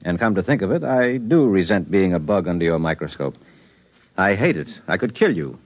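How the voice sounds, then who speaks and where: A man's voice: deep voice, lively intonation, moderate pace, no echo, dull audio. A wry, worried everyman. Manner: plainspoken, wry, anxious. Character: worried ordinary married man, skeptical questioner. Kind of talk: 1950s radio drama acting.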